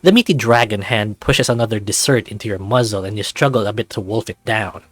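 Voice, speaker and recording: A man's voice: lowish voice, fairly quick, fairly bright tone, no echo. A man reading scripted narration for a visual novel in the role of narrator. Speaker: narrator and voice actor. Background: music.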